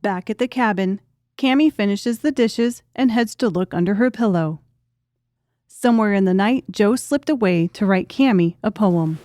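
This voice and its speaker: A woman's voice: medium pitch, moderate pace, a little mellow, dry recording, studio quality. A woman giving scripted audiobook narration as the storyteller of a drama.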